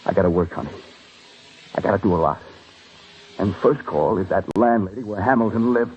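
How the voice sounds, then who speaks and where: An actor playing an intense, anguished police detective with an urgent, clipped manner in an old radio crime drama. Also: male voice, on the low side, fairly quick, a little mellow, lightly acted. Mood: tender, weary. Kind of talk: mid-century radio crime drama acting. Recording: muffled, hissy old radio recording.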